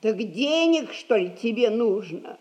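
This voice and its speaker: Fretful elderly merchant's widow, unhurried singsong, high voice, vintage theatrical radio comedy. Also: female voice, animated, darkish tone, muffled recording. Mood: indignant, fretful. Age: older. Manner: singsong folksy lamenting, theatrical. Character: rich old merchant widow, pious, fretful, domineering at home. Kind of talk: 1960s stage comedy radio acting.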